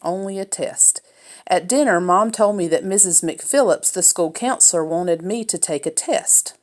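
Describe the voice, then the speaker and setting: A woman's voice: fairly low pitch, measured pace. A woman reading a children's novel aloud as a first-person narrator.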